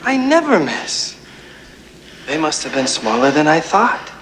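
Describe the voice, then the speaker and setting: A man's voice: fairly high pitch, big pitch swings, unhurried. A man speaking acted dialogue, as in a film or show, in a noisy recording. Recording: noisy clip with vehicle-like ambience.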